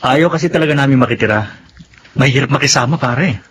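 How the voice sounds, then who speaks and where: An actor playing a calm, sympathetic male friend who gives steady advice. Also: medium pitch, quick pace, background noise, natural voice. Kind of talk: Filipino radio drama acting.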